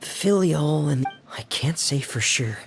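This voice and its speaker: A shy, gloomy young man from an anime game. He talks slowly and hesitantly in a subdued, creaky voice, with a faint noise bed behind him. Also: a little high, expressive, heavily acted. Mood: hesitant, troubled.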